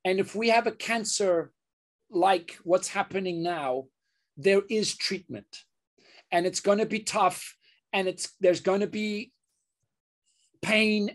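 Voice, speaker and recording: Earnest male surgeon, interview guest, halting delivery with frequent restarts. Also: high-pitched. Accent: British. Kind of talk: interview conversation.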